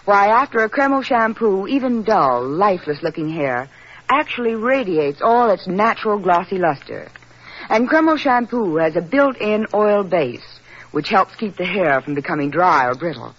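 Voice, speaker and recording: A high-voiced man gushes through a scripted radio commercial with lively intonation. The recording is muffled 1940s broadcast sound. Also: moderate pace, a little hiss or hum. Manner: gushing scripted sales pitch. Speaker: commercial co-announcer.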